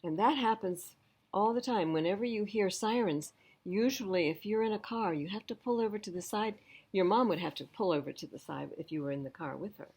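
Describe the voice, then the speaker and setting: A woman reading a picture book aloud to young children as a library storyteller, pausing to explain things simply. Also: medium pitch, darkish tone, breathy edge.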